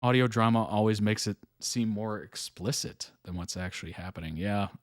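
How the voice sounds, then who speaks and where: A man hosting a live reaction stream, chatting casually, reading chat messages aloud, with frequent ums and soft chuckles. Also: on the low side, normal speed, no room sound. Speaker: host reacting to videos live.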